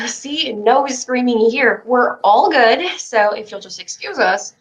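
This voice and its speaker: A woman's voice: a little high, takes it slowly, low-quality recording. A woman in a staged play reading playing a defiant, suspicious, hot-headed child on a ship. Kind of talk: staged reading of a play over video stream.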